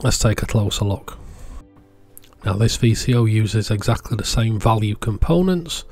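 A man with a fairly low voice presents a hobby electronics showcase. He explains things in a casual, plain-spoken way. Faint music plays behind his voice. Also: lively intonation, moderate pace, darkish tone. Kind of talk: casual product showcase voice-over.

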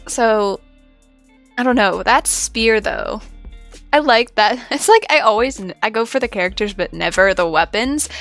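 A woman's voice: mid-range voice, a bit bright. A woman hosting a reaction video, chatting casually in a rambling, hesitant way with trailing thoughts. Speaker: host of a reaction channel. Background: faint music.

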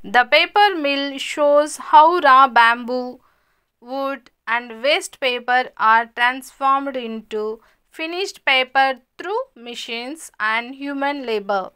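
A high, bright woman's voice reads a school lesson in a slow, measured way. Her tone is smooth and clear, and she announces each heading before explaining it in simple steps. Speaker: narrator of a school lesson video. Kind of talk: scripted lesson narration. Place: voice-over.

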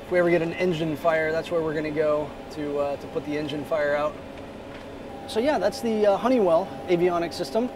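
A man's voice: a little high, fairly quick. Easygoing man in a jet cockpit. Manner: easygoing, many "uh" fillers. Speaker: corporate pilot vlogger. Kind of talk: casual walkthrough video. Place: small jet cockpit, parked outdoors. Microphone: phone or camera held at arm's length. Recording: noisy airport background.

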